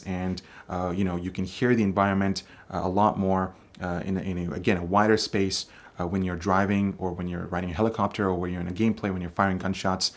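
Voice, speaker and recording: A man with a deep, bassy voice giving a casual product review, talking loosely with frequent fillers. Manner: conversational, hedging with fillers. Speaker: tech reviewer.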